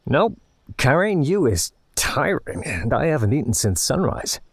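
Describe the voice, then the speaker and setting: Man playing a gravelly, husky, practical explorer. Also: medium pitch, sing-song, unhurried, dry recording, studio quality, heavily acted. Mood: matter-of-fact. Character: Nikan, calm practical male explorer, reassuring to his captive. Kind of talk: audio drama acting.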